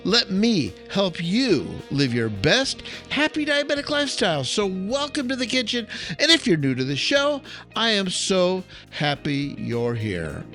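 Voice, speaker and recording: A man's voice: high voice, huge pitch swings, unhurried, bright, forceful tone, soft background music. A man hosting a podcast with an enthusiastic, emphatic delivery, as if reading an upbeat script. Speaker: podcast host, chef.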